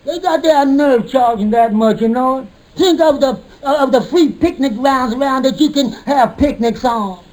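Very high-voiced, stammering timid barber. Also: male voice, moderate pace, darkish tone, low-quality recording. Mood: chatty, sheepish. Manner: heavy repeated stammer, trips over words. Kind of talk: 1950s radio comedy acting.

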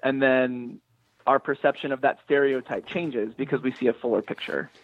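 A man's voice: medium pitch, fairly even intonation, moderate pace, dull audio. A man being interviewed as a screenwriter, speaking thoughtfully and often rephrasing as he searches for words. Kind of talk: thoughtful interview talk about own writing.

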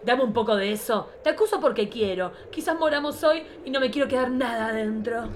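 A man's voice: extremely high pitch, brisk. A man playing a bossy, catty old-guard showgirl diva in a campy comic radio play. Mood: bickering, catty. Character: bossy, bickering oldest cabaret showgirl diva, a woman. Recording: clearly noisy background.

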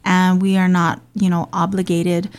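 A woman's voice: lowish voice, little pitch movement, normal speed, smooth. A woman who grew up in Hawaii, hosting and talking in a casual, earnest way with frequent ums. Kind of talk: casual conversational talk.

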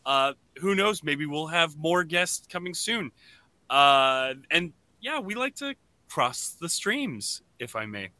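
A man hosting a podcast, chatting casually and enthusiastically in an animated way. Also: fairly high pitch, takes it slowly, no room sound. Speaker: podcast host.

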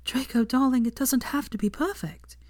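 A woman voicing a caring, determined young woman in love, gentle, earnest and reassuring, in a romantic story reading. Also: sits high, normal speed, dry recording, studio quality, voice pushed up. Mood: tearful, tender.

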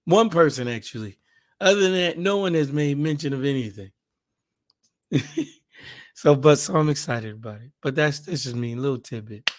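A man with a hoarse, raspy voice, hosting a casual Bible podcast and leading a fervent spoken prayer. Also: medium pitch, normal speed, long pauses. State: hoarse, raspier than usual.

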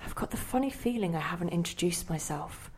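A bewildered, insistent inner voice. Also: female voice, on the low side, animated, fairly quick, slightly rough. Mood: puzzled, musing. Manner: questioning, fretful, insistent. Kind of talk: audio play monologue and dialogue.

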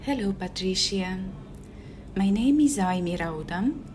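A woman's voice: mid-range voice, expressive, unhurried, background noise. A woman with an Estonian accent, a native Estonian speaker, hesitantly giving a short personal message with many filler sounds. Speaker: guest speaker.

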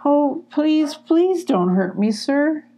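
A woman's voice: sits high, animated, slow, mellow, voice pushed up. A woman voicing a timid, frightened young female ferret in a storybook, pleading and stammering. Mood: terrified, sobbing.

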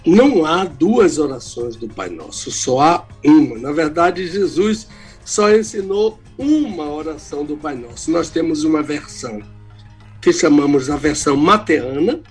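An older retired pastor from Salvador, Bahia, with a mixed Brazilian accent, teaching the Bible on radio in a calm, explanatory way. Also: male voice, a little high, a little slow, slightly rough, faint music behind. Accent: mixed Brazilian Portuguese, little Bahian.

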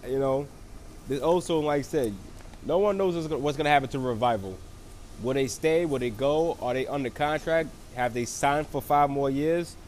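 Sick man with a froggy voice reviewing a wrestling show, rambling, a bit high-pitched, faint hiss behind. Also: darkish tone. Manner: rambling, lots of fillers and restarts. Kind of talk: casual solo recap.

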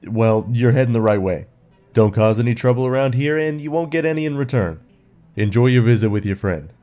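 An actor playing a suspicious, territorial guard in a sci-fi audio drama, stern and challenging. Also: male voice, mid-range voice, animated, brisk, dark tone, soft background music, natural voice. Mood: grudging, warning.

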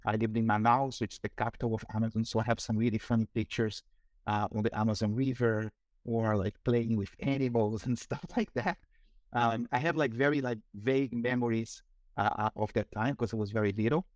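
A Brazilian-accented man in his forties, talking through an interview. He is hesitant and full of fillers and restarts, at a middling pitch. The sound is dry and close. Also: darkish tone, no echo. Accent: Brazilian Portuguese. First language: Portuguese. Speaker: guest, marketing executive. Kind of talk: casual interview.

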